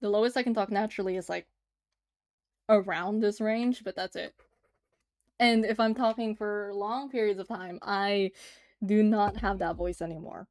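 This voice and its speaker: A woman's voice: medium pitch, fairly even intonation, normal speed, a little mellow. A woman livestreaming as she draws, chatting casually and giggling as she answers her chat. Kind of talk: casual chat while drawing on stream.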